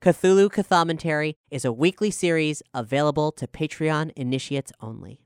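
A man with a fairly high, smooth, clean voice speaks as a podcast host making scripted announcements. The sound is very dry and close, with an easy-to-follow delivery. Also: normal speed, no echo. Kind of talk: scripted announcement, promotional talk.

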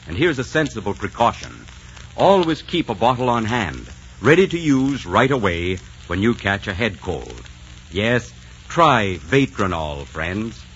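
A man announcing and pitching a product in polished 1940s radio style. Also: fairly bright tone. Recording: muffled, hissy 1940s radio recording.

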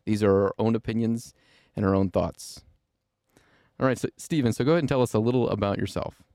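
A man's voice: on the low side, brisk, mellow, no room sound, clean studio sound. A man hosting an interview podcast, explaining points earnestly and with emphasis. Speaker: podcast host.

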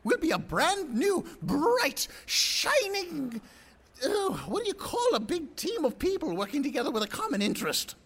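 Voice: high voice, very animated, moderate pace, a bit bright, full character voice. An actor playing a grandiose, suspicious rebel leader of a ragtag band in an audio drama, speechifying theatrically. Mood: rousing, grandiose.

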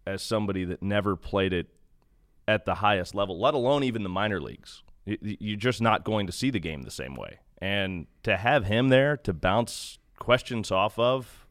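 A man with a deep voice talks as a guest in a relaxed interview. He is a radio announcer, speaking in an earnest, reflective, conversational way. The recording is very dry. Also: moderate pace, dry recording. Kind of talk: casual interview chat.

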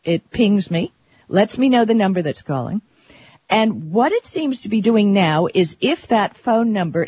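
A tech talk show co-host explaining things in a chatty, colloquial, conversational way, with a husky, rough-edged voice. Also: mid-range voice, a little mellow, dry recording. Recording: dull, lo-fi audio.

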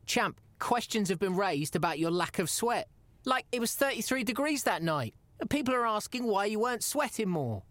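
Insistent podcast interviewer, dry sound. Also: male voice, high-pitched, big pitch swings, moderate pace, fairly bright tone, no echo. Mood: probing, mock-serious. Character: exasperated male podcast interviewer pressing his wrestler friend. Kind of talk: comedy audio drama dialogue.

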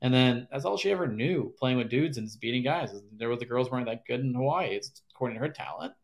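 A man, a former caddie and podcast guest, talking in a rambling, halting way with many restarts. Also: mid-range voice, lively intonation, gravelly. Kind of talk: casual interview chat.

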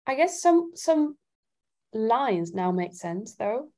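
A woman from Italy chatting casually as an interview guest, thinking out loud with hesitant phrasing. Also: fairly high pitch, very animated, slow. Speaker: singer-songwriter guest.

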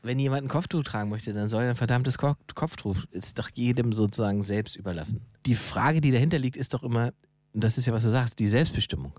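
A man's voice: medium pitch, fast talker, a little mellow, dry recording. Blunt, casual man in a dry studio sound. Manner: blunt, colloquial, explaining at length. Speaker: podcast co-host. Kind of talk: casual conversational discussion.

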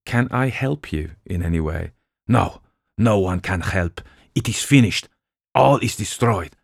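A man's voice: deep voice, lively intonation, a little slow, creaky, no echo, clean studio sound, heavily acted. A man reading an audiobook voices a feverish young wife, anguished and delirious.

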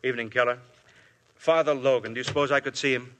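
An actor playing a shrewd police inspector who questions people with smooth persistence, in a 1950s radio drama. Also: male voice, mid-range voice, fairly even intonation, fast, fairly bright tone, slight background noise, lightly acted. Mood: probing. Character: shrewd, probing middle-aged police inspector.

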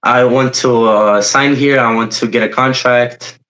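A man's voice: medium pitch, fairly even intonation, measured pace, fairly bright tone, dull audio. Young Polish man answering hesitantly. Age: young adult. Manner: hesitant, searching for words with many fillers. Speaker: footballer guest. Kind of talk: interview answers.

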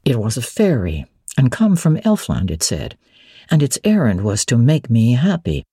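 A woman voicing a brooding, teasing middle-aged gentleman, commanding and whimsical, in a dramatic audiobook reading of a classic novel. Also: rumbling low, sing-song, normal speed, husky, no echo, studio quality, voice pushed down, full character voice. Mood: wistful storytelling. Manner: whimsical, authoritative, theatrical.

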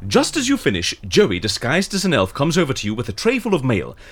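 An actor playing a chirpy, eccentric schemer in an audio comedy drama, with a theatrical, playful and quaintly polite manner. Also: male voice, medium pitch, wildly swooping pitch, a little fast, slightly rough. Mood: gleeful scheming.

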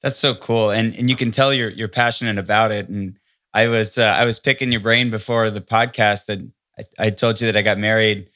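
A man's voice: on the low side, fairly even intonation, fairly quick, sharp tone, no echo, studio quality. A man hosting a podcast, introducing his guest and asking questions in an upbeat, friendly way. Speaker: podcast host. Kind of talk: podcast interview.

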